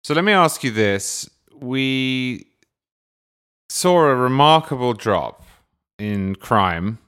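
A man hosting a long-form political interview. He asks long, winding questions at an even, mid-pitched level, stretching some phrases slowly and rushing through others. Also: clear, resonant tone. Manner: long, discursive questions with measured pauses. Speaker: podcast host.

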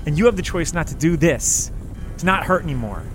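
A man's voice: a little high, animated, a little fast, music in the background, lightly acted. An actor playing a cornered, desperate man in an audio drama, urgent and stammering as he pleads. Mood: pleading.

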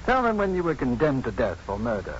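Composed, defiant doctor, 1950s radio play. Firm and dry, animated pitch, background hiss. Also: male voice, fast. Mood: dry, prompting. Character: self-possessed male doctor, dryly defiant and protective. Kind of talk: 1950s radio drama acting. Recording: muffled, noisy 1950s radio broadcast.

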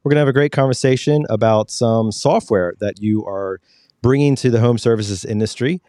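A man's voice: mid-range voice, a little fast, mellow, dry recording. A man co-hosting a podcast, reading a guest introduction and trading dry jokes. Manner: dry joking, scripted-sounding intro. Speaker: podcast co-host.

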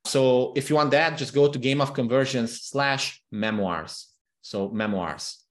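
A man's voice: mid-range voice, a little slow. A man from Transylvania whose first language is Hungarian, chatting as a podcast guest, often restarting and repeating words as he tells stories. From Transylvania, Romania. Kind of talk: casual interview talk.

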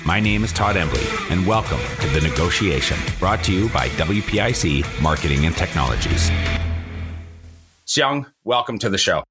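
A man's voice: low-pitched, sing-song, normal speed, over loud music. A man hosting a podcast interview, chatty and enthusiastic, often repeating words as he starts a sentence. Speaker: podcast host.

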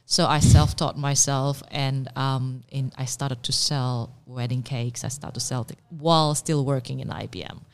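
A woman, telling her story in a casual interview, animated and full of fillers and restarts. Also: a bass voice, moderate pace, few pauses. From Indonesia. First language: Indonesian. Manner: animated, many fillers and restarts, laughs readily. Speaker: pastry chef and business owner.

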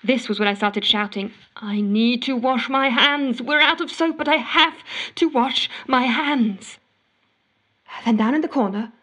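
A woman's voice: a little high, fairly bright tone. A woman playing a frantic, exasperated woman telling her desperate story over the phone in an audio drama. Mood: exasperated, alarmed. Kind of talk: audio fiction monologue, told as a phone call.

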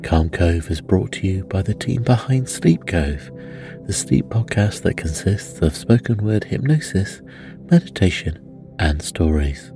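Low-voiced man announcer over music. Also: big pitch swings, measured pace. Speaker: advert announcer. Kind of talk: scripted podcast advertisement.

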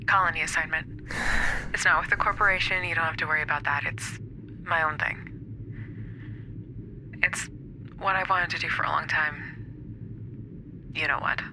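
Hurt wife on a noisy voicemail. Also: female voice, deep voice, steady tone. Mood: resigned, tearful apology. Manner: halting, emotional, plain-spoken. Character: hurt, pleading estranged wife leaving a voicemail. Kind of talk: naturalistic science fiction audio drama. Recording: noisy recorded voicemail message.

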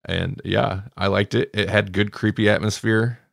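A man co-hosting a casual review podcast, animated as he talks and voicing reactions. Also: lowish voice, normal speed, gravelly, no echo, clean studio sound. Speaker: podcast co-host.

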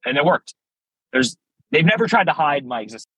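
A man with a slightly husky voice speaks as a podcast guest. He talks candidly and at length, filling his sentences with "like." The recording is dry and close, with no room sound. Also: fairly high pitch, very animated, brisk, sharp tone, dry recording, poor audio. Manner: candid, rambling, frequent "like" fillers. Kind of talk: casual podcast interview.

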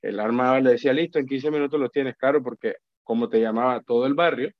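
A man's voice: medium pitch, steady tone, fast, no room sound. A Colombian man in his thirties, speaking as a podcast guest with a Colombian Spanish accent. He talks reflectively, stopping to repeat and restart words. The recording is dull and lo-fi, with a dry, close sound. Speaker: guest, account manager. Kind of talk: casual podcast conversation.

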